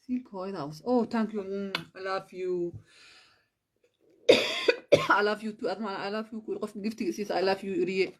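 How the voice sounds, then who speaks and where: A woman's voice: mid-range voice, brisk, long pauses, slight background noise. Woman chatting on a livestream. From Somalia. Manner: switches between languages, thanks viewers for gifts. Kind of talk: casual live-stream chat.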